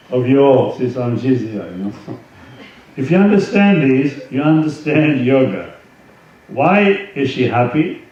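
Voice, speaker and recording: A man speaking as a swami, giving an informal spiritual talk and reading aloud from a book, with halting conversational asides. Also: mid-range voice, a little mellow. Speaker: swami, yoga teacher. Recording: roomy echo, distant mic, dull sound, faint noise.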